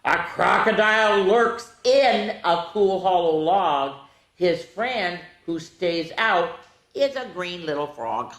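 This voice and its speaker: A middle-aged or older woman hosting children's storytime, reading picture books aloud in an animated way, stretching key words and chatting directly to the kids. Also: lowish voice, takes it slowly. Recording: roomy, echoey room sound.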